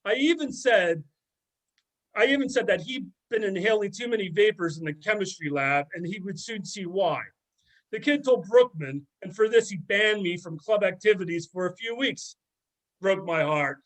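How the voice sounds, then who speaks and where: Sardonic middle-aged ex-student, played by a man, high male voice, gossipy and mocking, amateur readers theater. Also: animated, normal speed, fairly bright tone, low-quality recording. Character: sardonic middle-aged former student dishing on an old teacher. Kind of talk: amateur readers theater, conversational script reading.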